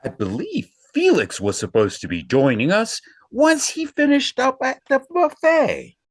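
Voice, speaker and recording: A man playing a genial, joking, hearty gentleman in an audio play. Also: extremely high pitch, huge pitch swings, a little slow, no room sound, studio quality, exaggerated voice.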